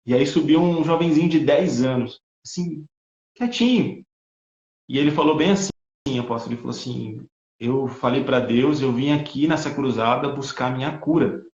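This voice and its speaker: Homem brasileiro com voz ecoada de chamada. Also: male voice, medium pitch. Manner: halting, repeats words while recounting. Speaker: missionary guest. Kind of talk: casual testimony. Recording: echoey, lo-fi call audio.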